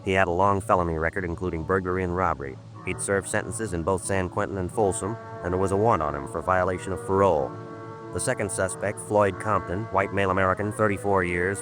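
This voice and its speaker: Man playing a low, quick, deadpan police sergeant. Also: close to an everyday voice, poor audio. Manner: clipped, matter-of-fact, deadpan. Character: terse, dogged male police sergeant narrating and interrogating. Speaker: detective narrator. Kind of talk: 1950s radio crime drama acting and narration.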